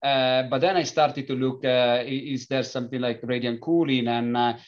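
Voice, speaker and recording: A middle-aged man from Italy with an Italian accent, a company founder speaking as an interview guest, pausing often with filler sounds and restarts. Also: mid-range voice, fairly even intonation, lo-fi sound. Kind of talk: conversational interview.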